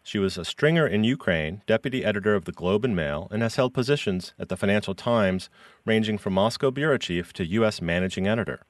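Deep voiced guy interviewing a guest, a bit far from the mic, dead dry room. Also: lively intonation, brisk, no room sound. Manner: reads a scripted introduction, then asks warm, personal questions. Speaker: podcast host.

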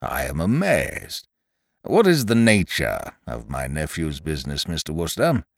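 A man reading an audiobook voices a fussy, stingy elderly duke, slow and gloomy in manner. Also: a bass voice, huge pitch swings, creaky, no room sound, clean studio sound, pitched below the performer's own voice, full character voice. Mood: disapproving. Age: older. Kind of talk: audiobook character voice.

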